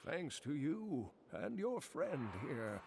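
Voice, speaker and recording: An actor playing an elderly, kindly and learned uncle in a fantasy video game, speaking expressively with grave foreboding. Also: male voice, fairly high pitch, creaky, a little hiss or hum, exaggerated voice. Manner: slow, expressive, foreboding storytelling.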